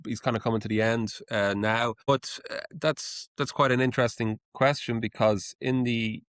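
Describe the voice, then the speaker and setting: A man's voice: mid-range voice, fairly even intonation, normal speed, fairly bright tone, no echo, studio quality. A man in his forties from Ireland with an Irish accent, a guest answering interview questions in a hesitant, searching way. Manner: hesitant, with many fillers and restarts. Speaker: guest, menswear brand founder. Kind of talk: casual interview.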